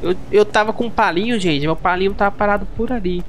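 A man's voice: high-pitched, fast, a bit bright, a little hiss or hum. A young Brazilian man chatting casually and playfully in slang while streaming a role-play game. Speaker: gaming streamer. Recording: music under the voice.

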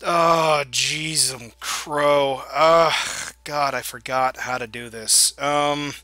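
A slightly hoarse young man riffing over his gameplay in a goofy, mock-exasperated way. He talks slowly, with a bright, sharp tone, and his voice sounds dry and close to the mic. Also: a little high, fairly even intonation, no echo. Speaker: gaming YouTuber. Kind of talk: let's play commentary.

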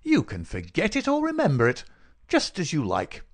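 Casting: gloating storybook villain, performed by a male narrator, raised pitch, swooping, mocking and cruel. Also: huge pitch swings, normal speed, exaggerated voice. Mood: gloating menace. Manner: taunting, insistent, rhetorical questioning.

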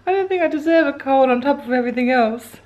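An Australian woman in her forties chatting through a daily home vlog, rambling with soft ums and sounding a little congested. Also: high voice, fast. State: congested, getting a cold. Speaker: vlogger. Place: living room couch at home. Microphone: handheld camera held close.

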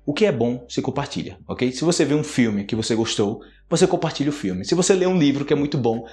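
A man's voice: mid-range voice, fast, soft background music. A man in his thirties teaching Portuguese to camera in a small room, explaining words casually, checking in often with "né?" and "ok?". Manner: lively, gestures a lot, frequent "né?" and "ok?" check-ins. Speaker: Portuguese teacher, channel host. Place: small indoor room, plain wall. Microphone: close camera framing, upper body.

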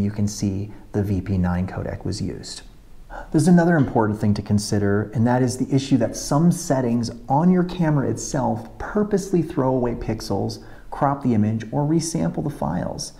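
Gravelly man explaining camera settings. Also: on the low side, dark tone. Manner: explanatory, methodical, walks through settings step by step. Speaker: motovlogger. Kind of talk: technical tutorial talk to camera. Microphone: camera on a tripod.

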